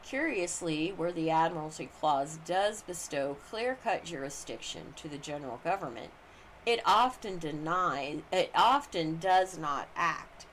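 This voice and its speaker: A woman reading a formal text aloud as scripted narration, phrase by phrase with deliberate emphasis. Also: low voice, lively intonation, a bit bright. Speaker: reader of a book on constitutional law. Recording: clearly noisy amateur recording.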